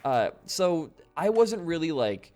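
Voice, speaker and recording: A laid-back young man from Wisconsin, a bit hoarse after losing his voice, rambling casually while commenting on his gameplay. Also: on the high side, very animated, slow, a little mellow. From Wisconsin, USA. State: slightly hoarse from voice loss. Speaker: gaming channel host. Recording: faint game music behind.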